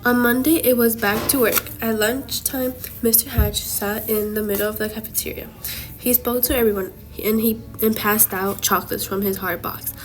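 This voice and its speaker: A woman's voice: a little high, fairly even intonation, moderate pace, poor audio. A woman reading a children's storybook aloud, now and then stumbling over and repeating words. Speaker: student reader. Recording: music playing behind the voice. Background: music.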